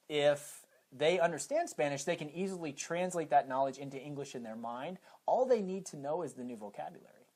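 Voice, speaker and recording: A man's voice: on the high side, a little mellow. A man with an American accent, a teacher being interviewed, who explains things step by step with examples. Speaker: high school teacher and program coordinator, interview guest.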